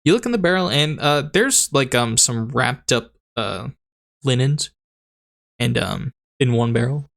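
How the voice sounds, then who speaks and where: A man running a tabletop game as dungeon master, narrating in a halting, rambling way with a voice that is going hoarse. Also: medium pitch, lively intonation, fairly bright tone. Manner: rambling, halting, laughs often. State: losing his voice.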